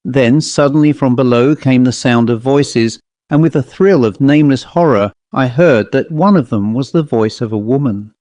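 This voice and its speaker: Guy narrating a spooky audiobook, medium pitch, super dry recording, reading from script. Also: moderate pace, hardly any pauses, darkish tone, dry recording. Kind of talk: scripted ghost story narration.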